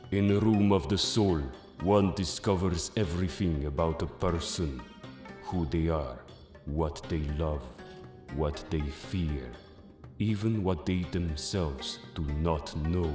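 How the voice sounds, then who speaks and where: A man playing a solemn, mysterious Egyptian mystic in an audio drama, speaking in a grave, portentous manner. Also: deep voice. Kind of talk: audio drama acting of a manga. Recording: echoey, long cavernous reverb.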